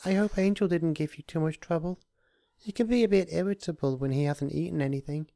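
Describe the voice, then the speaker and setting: A man voicing a gentle, kindly, timid female cartoon pony, sweet and polite. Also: a little high, brisk, gravelly, no room sound, pitched above the performer's own voice. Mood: cheerful.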